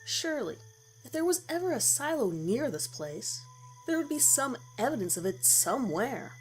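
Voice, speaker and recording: A woman's voice: high voice, big pitch swings, normal speed, a little hiss or hum, low-quality recording. A woman reading a scripted first-person horror story as its narrator. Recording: music bed under the voice. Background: background music.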